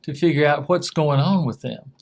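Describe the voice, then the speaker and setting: A man hosting a TV interview show, asking questions in a halting way and often repeating his words. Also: a little high, darkish tone, a little hiss or hum. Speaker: host.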